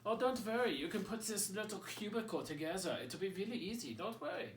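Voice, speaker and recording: A man voicing a cheery, high-voiced male furniture store clerk in a sing-song, reassuring way. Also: expressive, a little fast, reverberant, far from the mic, a little hiss or hum. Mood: chirpy.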